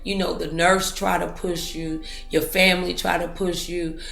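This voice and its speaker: A woman's voice: fairly low pitch, level tone, normal speed, creaky, fairly bright tone, reverberant, faint music behind. A woman hosting a motivational interview in an encouraging, preacherly manner. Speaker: talk show host.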